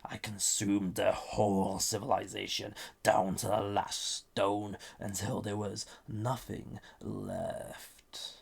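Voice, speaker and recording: A man playing a lonely, flirty banished villain, an ancient living weapon, in an intimate audio roleplay with a breathy, gravelly voice and dramatic pauses. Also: lowish voice, little pitch movement, heavily acted. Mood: dark, menacing. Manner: hesitant, soft-spoken, intimate, dramatic pauses.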